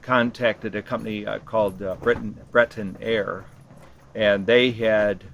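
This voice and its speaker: A man with a fairly low voice talks casually, as if answering interview questions. He rambles a little, stopping to rephrase and correct himself. There is clear background noise on the recording. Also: steady tone, unhurried, fairly bright tone, lo-fi sound. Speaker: interviewee, ham radio expedition team member. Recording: clearly noisy location sound.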